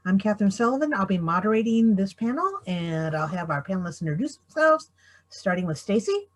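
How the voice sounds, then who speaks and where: A woman's voice: mid-range voice, lively intonation, brisk. A woman moderating an online panel discussion, introducing and prompting the panelists.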